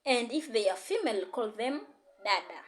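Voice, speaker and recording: A woman's voice: on the high side, lively intonation, a little fast. A woman, a language teacher giving an encouraging online lesson and often repeating herself. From Tanzania. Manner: repetitive, encouraging, code-switching teacher. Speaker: Swahili and English teacher.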